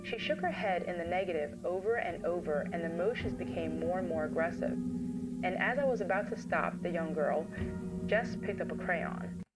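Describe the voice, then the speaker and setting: A woman acts as a concerned child therapist in a horror series, her voice slightly low. She speaks in measured, gentle questions. Loud music plays over her words. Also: close to an everyday voice, poor audio. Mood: uneasy, reporting. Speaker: therapist narrating session recordings. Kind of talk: scripted horror series dialogue.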